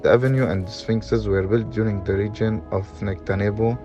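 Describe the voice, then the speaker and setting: A man reading scripted documentary narration in a halting way, with stumbles, on a dull lo-fi recording. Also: lowish voice, fairly even intonation, mellow, faint music behind. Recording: dull, lo-fi sound.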